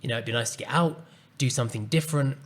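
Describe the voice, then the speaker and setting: A man hosting a solo podcast, speaking calmly and earnestly as he reflects on a personal story. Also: mid-range voice, fast. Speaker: podcast host and coach.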